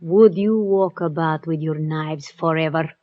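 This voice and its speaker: Slow-spoken defiant woman, muffled. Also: sits low. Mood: bitter, weary. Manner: declamatory, scornful. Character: sullen, defiant, world-weary woman of the underworld. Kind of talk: radio drama acting. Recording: dull, lo-fi old radio recording.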